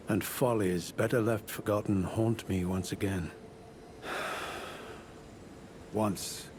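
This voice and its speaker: An aging knight of a fantasy game, now a scholarly investigator. His voice sits on the low side, and he speaks with grave courtesy and old-fashioned, measured phrasing. Clear background noise and rumble sit behind him. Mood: rueful, haunted. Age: older. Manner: formal, archaic, measured, courteous. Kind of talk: video game character dialogue.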